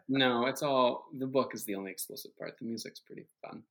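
A man's voice: mid-range voice, fairly quick. A man who is a guest on a casual podcast, an author talking about his book's soundtrack with hesitant restarts. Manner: hesitant, many fillers and restarts. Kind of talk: casual chat.

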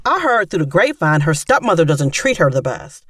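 A woman's voice: deep voice, very animated, fairly quick, fairly bright tone, husky, no echo, heavily acted. A woman playing a firm, chatty mother in an audio drama. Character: firm, chatty Southern mother, middle-aged. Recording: dry but distant from microphone.

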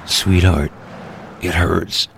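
A man voicing a badly injured, gentle man in love, dying, stammering and gasping in an audio story. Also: deep voice, level tone, extremely slow, gravelly, slight background noise, full character voice. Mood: pained, faltering.

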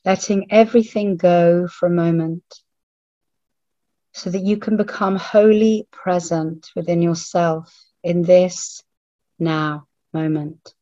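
A woman leading a guided meditation in a hushed, soothing manner, leaving long pauses between short phrases. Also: lowish voice, fairly even intonation. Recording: roomy, phone-like narrowband audio.

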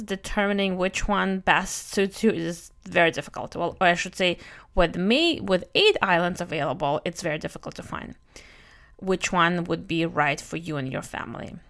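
A woman in her thirties speaks at a middle pitch with noticeable vocal fry. Her accent is Russian with a touch of Brooklyn. She delivers a prepared monologue conversationally, as a podcast host who plans family travel. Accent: Russian with some Brooklyn. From Europe, moved to the US in youth.

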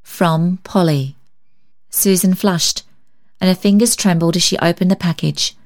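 A woman narrates a storybook tale in a moderately low voice. Her reading is scripted and expressive, in the manner of a story reader. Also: normal speed.

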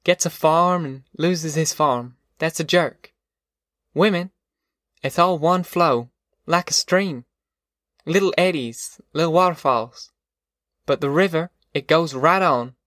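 A man voicing a soothing, plainspoken middle-aged farm mother in an audiobook, soft-spoken, with a rural Southern American accent. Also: on the high side, slow, no echo, studio quality, pitched above the performer's own voice. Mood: soothing, assured. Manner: soft-spoken, folksy, reassuring. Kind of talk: audiobook character dialogue.

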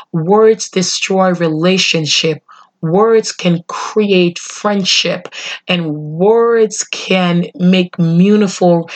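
A middle-aged woman hosting a motivational solo podcast, earnest and emphatic, slightly nasal as she gets over being sick. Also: on the low side. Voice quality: a little nasal. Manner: earnest, emphatic, slows on key phrases. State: under the weather, voice not fully recovered. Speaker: podcast host.

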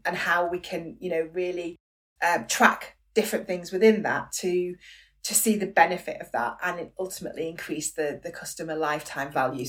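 Croaky-voiced woman hosting a business podcast. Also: lowish voice, far from the mic. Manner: chatty, encouraging, frequent "okay?" and "um". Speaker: podcast host, business coach. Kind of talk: solo podcast monologue.

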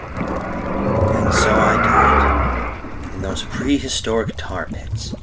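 An actor playing a guilt-ridden, terrified dead man in a horror radio play, narrating melodramatically and haltingly with repeated phrases. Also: deep voice, sing-song, very noisy, exaggerated voice. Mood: hushed, haunted.

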